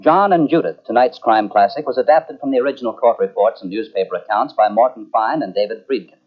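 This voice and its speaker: A man's voice: medium pitch, quick pace. A man formally reading the credits as an old-time radio announcer. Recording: muffled old radio broadcast.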